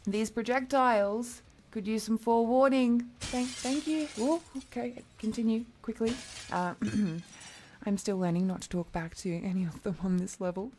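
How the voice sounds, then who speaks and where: A woman's voice: fairly high pitch, steady tone, moderate pace, a little mellow, slight background noise, lightly acted. A woman playing an affectionate, playful demon guide through hell in a fantasy audio roleplay, chatty, coaxing and protective toward the listener. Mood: brisk, protective. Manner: coaxing, chatty, protective, with pet names and small hesitations.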